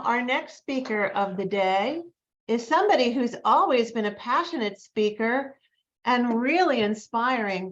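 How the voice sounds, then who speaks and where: Upbeat woman host on dull call audio. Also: a little high. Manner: upbeat, enthusiastic introductions. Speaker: meeting host. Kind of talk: introducing speakers. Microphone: video call.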